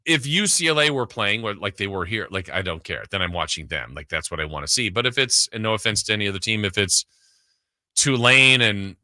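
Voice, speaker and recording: Bright, forceful man hosting sports talk. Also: lowish voice, lively intonation, brisk, no echo, studio quality. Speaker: sports radio host.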